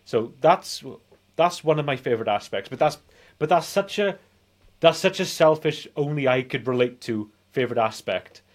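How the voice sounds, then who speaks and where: A man's voice: on the high side, animated, normal speed. A British man in his forties chatting casually as a podcast co-host, rambling and nostalgic. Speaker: film review podcast co-host. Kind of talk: casual chat.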